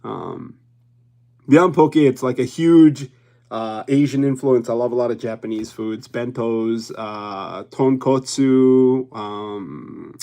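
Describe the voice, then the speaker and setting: A man's voice: mid-range voice, takes it slowly, gravelly, dark tone. A young man from Hawaii hosting a casual livestream, chatting with his viewers in a rambling way and laughing often. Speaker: YouTube livestream host.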